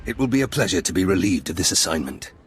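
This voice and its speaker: An actor playing a stern, disdainful Sith enforcer in a video game, with a raspy voice and cold, formal delivery. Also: male voice, sits low, very animated, fast, full character voice. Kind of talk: cinematic game voice acting.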